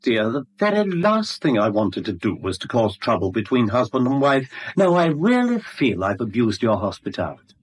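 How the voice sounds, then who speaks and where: An actor playing an oily, polite blackmailer in a British radio drama, falsely apologetic and genteel, with a British accent. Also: male voice, mid-range voice, big pitch swings, moderate pace, slightly rough. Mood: oily persuasion. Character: smooth, mock-regretful male blackmailer, oily and polite. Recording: vintage 1970s radio drama recording.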